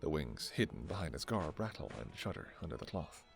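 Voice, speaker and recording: A man's voice: very deep voice, sing-song, fairly quick, creaky, over music. A man giving scripted second-person narration for a romantic fantasy story.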